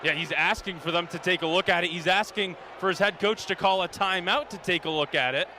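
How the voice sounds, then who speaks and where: A male radio sportscaster gives live basketball play-by-play. His voice sits high and carries plenty of energy. Very loud arena ambience runs behind him. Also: a little fast, background noise. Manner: energetic, rising excitement on big plays. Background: basketball arena with bouncing ball.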